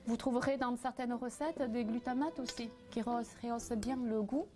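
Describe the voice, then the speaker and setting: A woman's voice: fairly high pitch, steady tone, brisk, dark tone, soft background music. Hesitant woman explaining a recipe. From Vietnam. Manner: hesitant, frequent "euh" fillers, step-by-step explaining. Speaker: cooking association cofounder demonstrating a recipe. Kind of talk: casual cooking demonstration.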